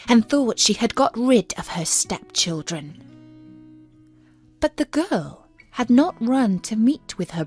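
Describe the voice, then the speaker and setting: A woman narrates a scripted fairy tale at a slow pace with music behind her. Her reading is measured and careful, in the manner of a storybook audiobook. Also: medium pitch. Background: background music.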